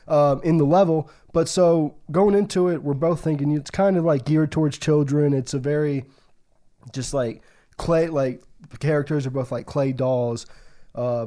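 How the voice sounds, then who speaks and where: A man's voice: medium pitch, warm tone. A young American man co-hosting a podcast, chatting and telling stories in a joking, slangy way. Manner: joking, slangy, laughs often. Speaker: podcast co-host. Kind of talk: casual chat and storytelling.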